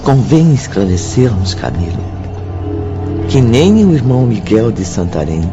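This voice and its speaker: A man's voice: medium pitch, dull audio. A man narrating a radio drama in a formal, explanatory manner.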